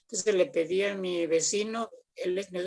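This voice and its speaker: Older man, Mexican accent, husky and gravelly voice, rambling casual chat, repeats phrases. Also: high voice, steady tone, normal speed, darkish tone. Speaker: guest, theatre artist. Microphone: video call.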